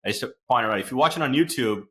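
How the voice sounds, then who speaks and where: A man's voice: medium pitch, animated, racing. A man from India hosting a podcast, chatty and rambling with many fillers as he introduces and questions his guest. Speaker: podcast host.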